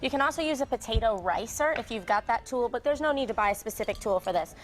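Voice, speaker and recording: A woman's voice: fairly high pitch, expressive, quick pace. A woman cooking on a TV studio set, explaining each step in an upbeat, hands-on way. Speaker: guest cook. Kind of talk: cooking demonstration on live TV. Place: TV studio kitchen.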